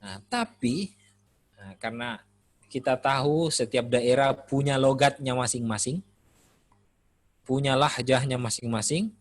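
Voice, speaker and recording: Male Islamic studies lecturer, middle pitch, casual and conversational with "eh" pauses, dry close sound. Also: no echo. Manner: relaxed, conversational, frequent "eh" pauses. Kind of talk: classroom lecture.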